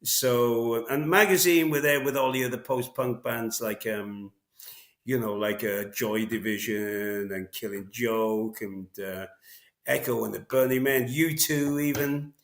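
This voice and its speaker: A man's voice: medium pitch, fairly even intonation, measured pace. Male, 60s, meandering interview guest, mid-range voice, heard over a roomy remote call. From North Wales. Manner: meandering, heavy on fillers. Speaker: guest drummer. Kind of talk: casual interview.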